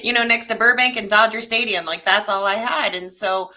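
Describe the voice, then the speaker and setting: A woman's voice: medium pitch, steady tone, fairly quick, pushed and bright. A middle-aged woman from France, a native French speaker, telling stories as a guest in a conversational interview. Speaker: interview guest, parks and recreation director.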